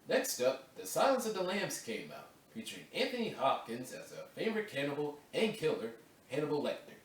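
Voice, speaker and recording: A man's voice: on the high side, huge pitch swings, fairly quick. Casual man reading voice-over takes in an echoey room. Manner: casual, restarts lines and corrects his own reading. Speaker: video essay narrator. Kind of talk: recording voice-over takes with off-script chat. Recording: roomy echo, distant mic, faint ambience.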